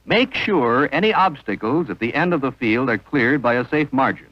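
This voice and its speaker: A man's voice: medium pitch, animated, moderate pace, no echo, highs cut off. A man narrating an instructional training film in a formal, precise manner on a vintage soundtrack.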